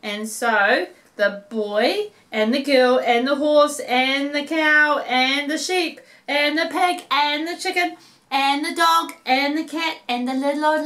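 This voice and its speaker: Children's storyteller: middle-aged New woman, high bright voice, animated, unhurried. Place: living room, seated in an armchair.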